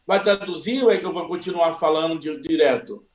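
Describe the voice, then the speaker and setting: A man from Salvador, a pastor giving a slow, humble personal testimony. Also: on the high side, reverberant, muffled recording. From Salvador, Bahia, Brazil.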